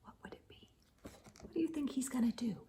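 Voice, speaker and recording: A woman reading a picture book aloud to children in an animated, dramatic way, with hushed asides to her listeners. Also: high-pitched, fairly quick, a little hiss or hum.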